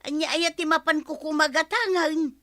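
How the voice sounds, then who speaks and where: A woman with an Ilocano accent playing an excitable, dithering, forgetful villager in a comic audio drama. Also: high-pitched, moderate pace.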